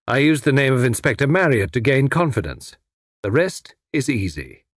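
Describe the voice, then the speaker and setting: Storybook villain, male reader in role: educated foreign spymaster, smug, courteous, menacing, husky with swooping pitch. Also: very animated, moderate pace, no echo, studio quality. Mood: smug, gloating. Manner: mockingly polite, formal, snarling when crossed. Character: suave, menacing well-educated foreign spy posing as inspector.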